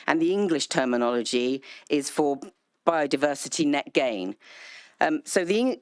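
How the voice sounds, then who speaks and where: A woman councillor answering public questions at a council meeting, speaking in a measured way with frequent ums and restarts. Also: sits low, dry recording. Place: council chamber, hybrid meeting. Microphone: desk microphone.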